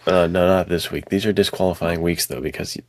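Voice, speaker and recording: A man's voice: low voice, steady tone, fairly quick, no echo, clean studio sound. A man co-hosting a podcast, talking in casual, informal banter with some slang. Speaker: podcast co-host.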